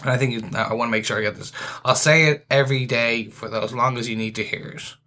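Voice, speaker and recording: A man's voice: mid-range voice, steady tone, quick pace, bright, forceful tone. A man co-hosting a casual podcast, chatty and enthusiastic, restarting his sentences often. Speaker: podcast co-host.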